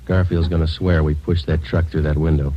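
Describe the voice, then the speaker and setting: An actor playing a terse, businesslike, coolly polite police sergeant, clipped and deadpan, in a 1940s radio drama. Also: male voice, a bass voice, brisk, dull audio. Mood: wry. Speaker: lead and narrator. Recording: vintage radio recording with music behind.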